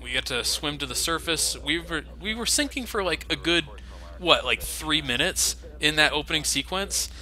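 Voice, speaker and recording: Bright, lively man mocking a game. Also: on the high side, moderate pace. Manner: sarcastic, laughing at the game. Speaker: let's play commentator. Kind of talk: casual game commentary.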